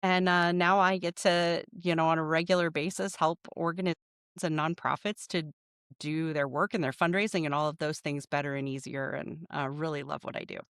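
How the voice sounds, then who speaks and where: A woman's voice: deep voice, steady tone, fairly quick, fairly bright tone, smooth, no room sound, clean studio sound. A middle-aged woman from Nebraska with a Midwestern American accent, answering conversationally and enthusiastically as a podcast guest. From Nebraska, United States. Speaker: podcast guest, nonprofit fundraising professional.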